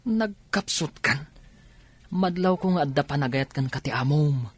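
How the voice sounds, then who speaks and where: Nagging male buddy, hoarse-edged, swooping pitch, Ilocano Filipino accent, dry sound, radio drama. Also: animated, measured pace, dry recording. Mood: teasing, urging. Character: teasing, nagging close friend of a young driver.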